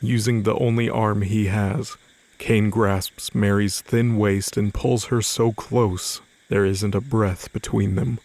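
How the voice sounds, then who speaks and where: A man's voice: fairly low pitch, fairly even intonation, takes it slowly, darkish tone, no room sound. A man reading scripted narration for an audio drama in a dramatic storytelling style. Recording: faint music behind the voice.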